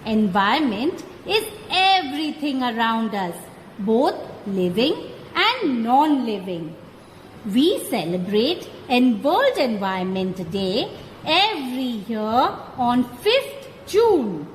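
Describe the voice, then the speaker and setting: A woman's voice: on the high side, very animated. A woman with an Indian accent playing a kindly, teacherly old grandmother in a children's puppet show. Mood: earnest. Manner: slow, deliberate, instructive delivery. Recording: echoey hall, background noise.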